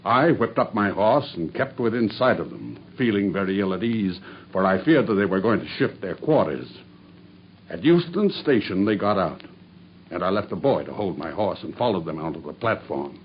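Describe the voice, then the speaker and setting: A grim, dying avenger. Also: male voice, low voice, normal speed, slight background noise. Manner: steady, grave confession. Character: dying vengeful male cab driver, grim. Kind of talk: 1950s BBC radio drama acting. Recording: dull old radio broadcast.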